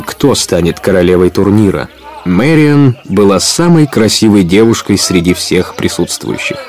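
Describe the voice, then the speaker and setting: A man narrating a scripted storybook audio play in an expressive, literary storytelling manner. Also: lowish voice.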